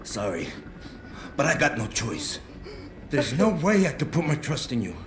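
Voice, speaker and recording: An actor playing a cornered, vengeful young hostage-taker in a video game, agitated and swaggering with street talk. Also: male voice, medium pitch, big pitch swings, a little slow, background noise. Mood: desperate, wary. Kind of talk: dramatic video game acting. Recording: echoey room.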